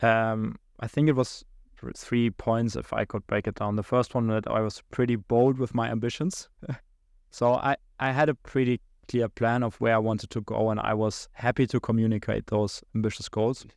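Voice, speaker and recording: A man's voice: mid-range voice, level tone, gravelly, darkish tone, no echo, studio quality. A young man from Germany with a German accent, a podcast guest talking through his career with many fillers and restarts. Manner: halting, many restarts and fillers, laughs between thoughts. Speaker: guest, account executive. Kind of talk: podcast interview.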